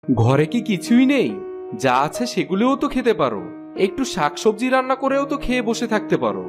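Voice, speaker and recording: A man's voice: high-pitched, huge pitch swings, brisk, over music. A man voicing a stingy, stubborn husband in a cartoon, grumbling and arguing in a whiny way. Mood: irritated, stubborn. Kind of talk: Bengali cartoon voice acting.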